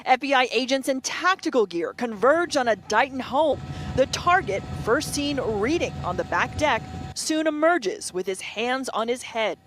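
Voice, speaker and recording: A woman reporting a news story in a crisp, even newsreader delivery. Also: high voice, moderate pace, over music.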